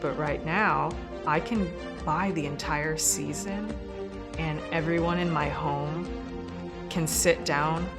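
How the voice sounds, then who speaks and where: A woman with a very low voice talks in interview style, relaying comments as theatre staff. Loud instrumental music runs behind her. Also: steady tone, slow pace.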